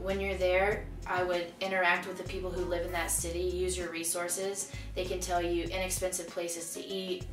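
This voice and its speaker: A young woman college student from Georgia talking casually and with enthusiasm about studying abroad, with frequent "um" fillers. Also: lowish voice. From Augusta, Georgia. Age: young adult. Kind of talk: casual interview testimony. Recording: roomy echo with faint background music.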